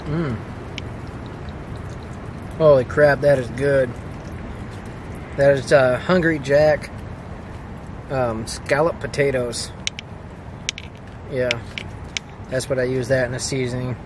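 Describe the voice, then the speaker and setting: A man in his thirties chats to the camera from inside a tent at night, casual and quick to exclaim. He cracks jokes as he goes. Wind and outdoor noise come through clearly behind him. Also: medium pitch. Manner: excitable, exclaiming, joking. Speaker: camping vlogger. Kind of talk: casual solo vlog narration.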